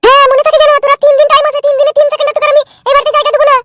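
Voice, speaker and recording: Shrill, spiteful village wife. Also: female voice, flat delivery, rapid-fire, fairly bright tone. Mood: threatening. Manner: threatening, scolding, foul-mouthed. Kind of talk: comic cartoon dialogue.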